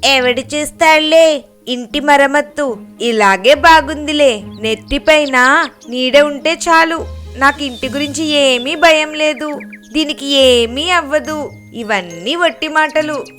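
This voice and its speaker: A woman's voice: high voice, slow pace, a bit bright. A woman voicing a lazy, self-pitying male crow in a children's cartoon story, grumbling and exclaiming. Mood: careless. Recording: music behind the voice.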